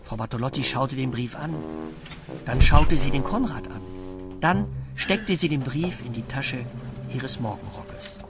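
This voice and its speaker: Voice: medium pitch, animated, unhurried, music in the background. A narrator reading a scripted children's story between scenes of a radio play.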